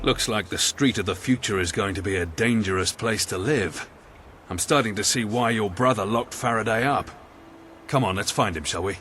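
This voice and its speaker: An actor playing a wry, watchful soldier escorting a ruler in a video game, given to dry asides. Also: male voice, lowish voice, moderate pace, fairly bright tone, a little hiss or hum, natural voice. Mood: dry, wary. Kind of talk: video game character dialogue.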